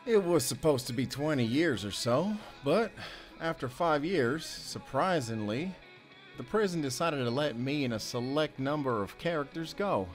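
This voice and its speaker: A man playing a weary, soft-hearted ex-convict handyman in an audio drama, talking in a measured, folksy way with long pauses. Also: fairly high pitch, expressive, faint music behind, natural voice. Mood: guarded, reflective.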